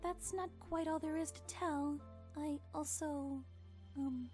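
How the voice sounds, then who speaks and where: Here is a gentle young pony princess from an animated drama, acted by a woman. Her voice is extremely high, and she speaks politely and hesitantly, earnest and curious. Music plays underneath. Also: slow pace. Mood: hesitant, confiding. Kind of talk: animated fan drama acting.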